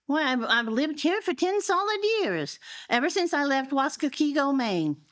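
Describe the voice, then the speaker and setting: A woman's voice: high-pitched, sing-song, normal speed, a bit bright. A woman playing a blunt, indignant and easily flustered American murder suspect in a live audio drama. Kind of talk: live radio-style audio drama acting. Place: live stage performance in a public library.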